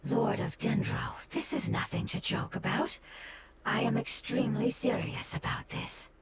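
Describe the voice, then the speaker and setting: Grave formal forest spirit from a game, very melodic, loud noise behind. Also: female voice, a little high, animated, a little slow. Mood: solemn. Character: solemn, earnest forest spirit living among fungi. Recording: loud background noise, game audio on stream.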